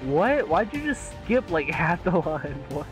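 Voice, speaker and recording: A man's voice: on the high side, over music, rough recording. A man reading in a casual fan table read, laughing and protesting between lines. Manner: laughing, animated, quick to protest. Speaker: table read participant voicing Garmadon.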